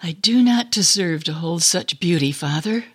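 A woman's voice: lowish voice, animated, normal speed, husky. A woman voicing a devout, adoring young mother, earnest and heartfelt, in a dramatic story reading. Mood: humbled.

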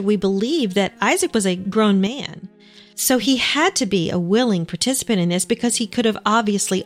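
Female radio co-host, reflective and sincere, mid-range voice. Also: medium pitch. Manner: warm, heartfelt and reflective.

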